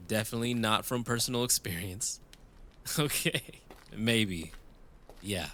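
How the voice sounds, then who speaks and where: A man's voice: mid-range voice, sharp tone, a little hiss or hum. A man playing a tender, wry young lover in an intimate cyberpunk romance audio drama, teasing and a little hesitant. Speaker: audio roleplay voice actor.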